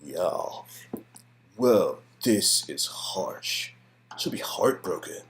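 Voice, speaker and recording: Very low-voiced man reading casually. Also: animated, very slow, fairly bright tone. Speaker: performer reading game dialogue and narration. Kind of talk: casual read-through of interactive game story.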